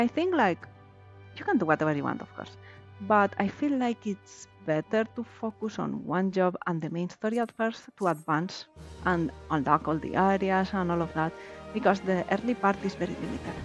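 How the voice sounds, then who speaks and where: A woman whose first language is Valencian/Catalan, chatting casually as a streamer while playing a game. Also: mid-range voice. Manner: chatty, laughs easily, reads game text aloud. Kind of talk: casual chat while gaming. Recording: slightly dull lo-fi stream audio. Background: faint game music.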